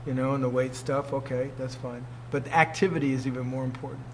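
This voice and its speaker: A man's voice: medium pitch, fairly even intonation, fast, a little hiss or hum, low-quality recording. A man in his sixties, a martial arts teacher, giving an informal talk to students, emphatic and fond of rhetorical questions. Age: 60s.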